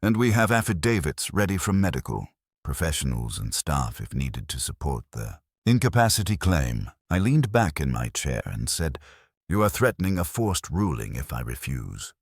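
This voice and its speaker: A man with a very low voice narrates a scripted story. His delivery is measured and formal, yet the pitch moves up and down in lively fashion. The sound is dry and close, with little room in it. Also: slightly rough, no echo.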